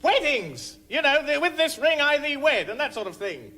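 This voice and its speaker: An actor playing a frantic fighter under fire, shouting urgently in a radio comedy. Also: male voice, very high-pitched, sing-song, moderate pace, long reverb tail, a little hiss or hum, heavily acted.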